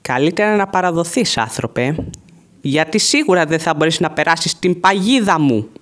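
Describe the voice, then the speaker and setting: A performer voicing an excitable, boastful male skeleton guard in a theatrical, bombastic cartoon fan dub style. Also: medium pitch, close to an everyday voice. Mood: boastful, challenging. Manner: theatrical, bombastic, emphatic delivery.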